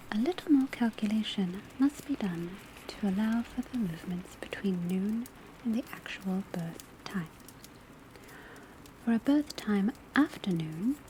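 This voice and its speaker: A studious witch professor, acted by a woman, gives an ASMR classroom lecture in a soft, breathy whisper. Her tone is dark and gentle, and her delivery is very unhurried and measured. Light rain, a crackling fire and pencil scratches sit faintly behind her. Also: mid-range voice, natural voice. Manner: measured, deliberate, instructional. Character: soft-spoken female witch school divination teacher, patient and studious. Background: crackling fireplace, rain on roof, pencil writing.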